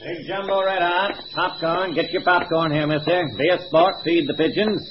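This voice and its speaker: An actor playing an undercover FBI agent posing as a street peanut vendor in 1950s radio drama, slangy and gruff. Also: male voice, high voice, steady tone, normal speed, lightly acted. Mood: loud hawking. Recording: muffled, noisy old radio recording.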